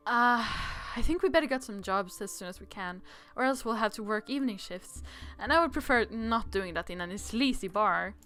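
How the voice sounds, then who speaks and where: Woman, game streamer, playful read-aloud, expressive, lively pitch, bright tone. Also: a little fast, hardly any pauses, faint music behind. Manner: giggly, expressive. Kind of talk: playful visual novel read-aloud with commentary.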